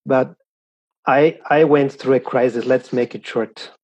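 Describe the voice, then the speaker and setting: A man talks slowly and haltingly, often pausing to find his words. His voice is husky and mellow. The recording sounds dull and lo-fi. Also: mid-range voice, steady tone. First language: German. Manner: hesitant, pauses searching for words. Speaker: guest. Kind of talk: casual interview talk.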